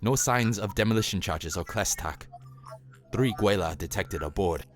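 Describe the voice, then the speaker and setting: A man's voice: low-pitched, moderate pace, faint music behind, close to an everyday voice. A man playing a loyal, alert alien officer in a science fiction audio drama, with a formal, clipped military delivery. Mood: formal, guarded.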